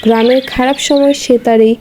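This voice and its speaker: A woman's voice: high-pitched, steady tone, a little fast, noisy background. A woman reading scripted narration for a children's story.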